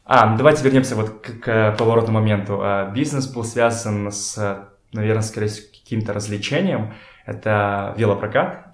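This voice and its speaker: A young man from Kazakhstan with a fairly low voice chats as a guest in an echoey room at home. He tells his stories informally, with slangy words, working out his thoughts as he goes. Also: a little fast. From Kokshetau, Kazakhstan. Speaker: podcast guest, photographer and art director. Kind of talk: casual conversational storytelling. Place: indoors in a home.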